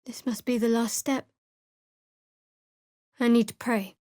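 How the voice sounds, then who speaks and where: A woman voicing a guarded, dry-witted young female cleric in a fantasy video game, measured and wry. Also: a little high, steady tone, dark tone, no room sound. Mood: guarded, solemn.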